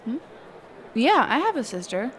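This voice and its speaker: A woman playing a sheltered, curious mage teacher in a conversational roleplay audio drama, halting and often correcting herself. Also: high voice, very animated, fairly bright tone, slight background noise. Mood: bashful, casual. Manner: halting, hesitant, trailing off and self-correcting.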